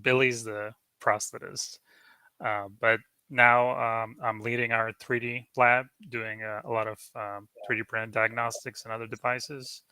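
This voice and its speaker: A man from Afghanistan with a very bright, forward voice, recorded dry. He speaks casually as an interview guest, pausing often with ums as he goes. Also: medium pitch, little pitch movement, clean tone, no echo, low-quality recording. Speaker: guest, prosthetics assistant and lab lead. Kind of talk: casual interview self-introduction.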